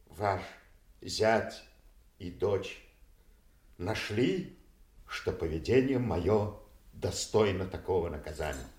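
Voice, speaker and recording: An actor playing a loyal, blunt nobleman in a classical audio tragedy, with a measured, declamatory delivery. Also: sits low, expressive, darkish tone. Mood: grave. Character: loyal, blunt nobleman Kent, put in the stocks. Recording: echoey roomy studio recording.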